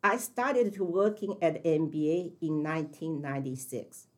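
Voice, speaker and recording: A woman in her sixties with a Chinese accent speaks in a low voice. Her delivery is careful and measured, as if she is reading prepared answers. She is a veteran math teacher telling her own story. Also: expressive. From China. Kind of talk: interview answers, read from prepared text.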